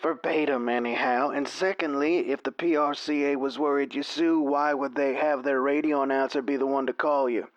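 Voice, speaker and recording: An actor playing a genial older rodeo radio announcer with a folksy, theatrical, persuasive way of talking. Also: male voice, medium pitch, steady tone, normal speed, hardly any pauses, no room sound, lo-fi sound, lightly acted. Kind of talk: radio play acting.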